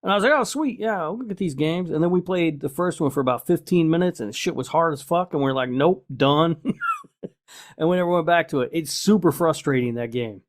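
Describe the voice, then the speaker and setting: A man's voice: on the high side, a little fast. Blunt, sweary man chatting casually. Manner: blunt, laid-back, foul-mouthed. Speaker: podcast host. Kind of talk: casual chat.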